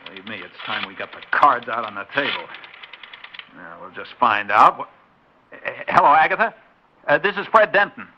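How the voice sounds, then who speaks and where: An actor playing a suspicious, hot-tempered suburban husband in an old radio drama, talking in a blustering, short-tempered way. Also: male voice, medium pitch, lively intonation, moderate pace, long pauses, a little hiss or hum, lightly acted. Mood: determined. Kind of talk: 1950s radio drama acting. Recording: dull old radio recording.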